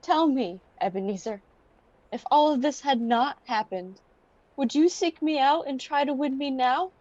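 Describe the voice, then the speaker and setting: A teenage girl playing a heartbroken but resolute young fiancée in a radio play, solemn and deliberate. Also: sits high, expressive, a little mellow, no room sound, highs cut off. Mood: sad, resolute. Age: teens. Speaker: student actor.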